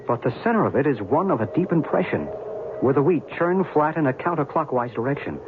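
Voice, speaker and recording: A man's voice: on the high side, animated, fairly quick, natural voice. Male actor as an earnest believer, thin noisy sound. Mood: earnest, insistent. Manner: articulate, earnest, formal. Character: earnest, insistent male UFO believer, patient and solicitous companion. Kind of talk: old-time radio drama acting. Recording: noisy, muffled old radio show recording.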